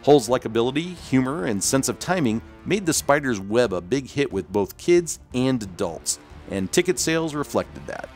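A man's voice: mid-range voice, big pitch swings, moderate pace, few pauses, darkish tone, faint music behind. A man hosting and narrating a scripted documentary-style video.

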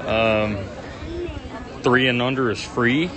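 A man's voice: mid-range voice, fairly even intonation, takes it slowly, poor audio. Man, casual family vlogger, rambling and upbeat, phone mic outdoors with a crowd behind. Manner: chatty, rambling, enthusiastic, many ums and uhs. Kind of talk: casual walk-and-talk vlog. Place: busy outdoor pumpkin farm. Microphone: handheld phone camera. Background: crowd chatter.

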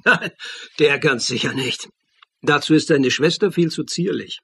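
Husky, earnest man. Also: on the high side, a little slow, sharp tone, no echo, full character voice. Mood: excited, certain. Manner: earnest, intense. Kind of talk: German radio crime drama acting and narration.

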